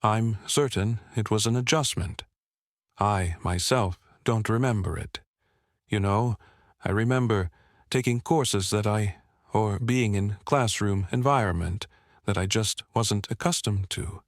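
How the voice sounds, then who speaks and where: Man, fifties, from Vietnam, French first language, lowish pitch, dry sound, explanatory interviewee recounting memories. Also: unhurried, no echo. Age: 50s. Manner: explanatory, often checks with "right?" and "you know". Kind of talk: oral history interview, personal recollection.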